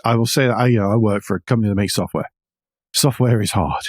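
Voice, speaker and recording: Male podcast co-host, middle-aged, lowish pitch, wry and conversational, very dry close recording. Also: quick pace, darkish tone, no echo. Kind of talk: casual discussion of car news.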